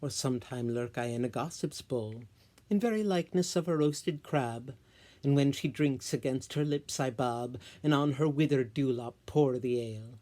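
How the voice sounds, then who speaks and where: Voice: mid-range voice, moderate pace, warm tone, close to an everyday voice. A solo reader voicing a merry, boastful male sprite in theatrical Shakespearean verse. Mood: gleeful boasting. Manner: theatrical, storytelling delivery.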